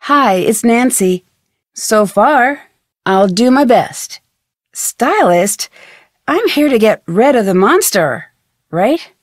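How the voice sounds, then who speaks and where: An actress playing a curious, polite young female detective in an adventure game, asking questions in a clear, inquisitive way. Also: on the high side, big pitch swings, takes it slowly, no echo, studio quality, full character voice. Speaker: video game protagonist. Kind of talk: scripted adventure game dialogue. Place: studio.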